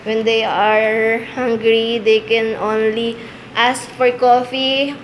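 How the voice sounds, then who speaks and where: A woman with a Filipino accent narrates a casual tour at a slow, hesitant pace. Her voice sits fairly high. The sound bounces around hard indoor rooms. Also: little pitch movement, muffled recording. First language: Tagalog. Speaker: vlogger giving a tour. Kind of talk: casual walk-through commentary. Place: tiled rooms and corridors of a small gym, indoors. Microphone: handheld phone behind the camera. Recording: echoey indoor rooms, clear background noise.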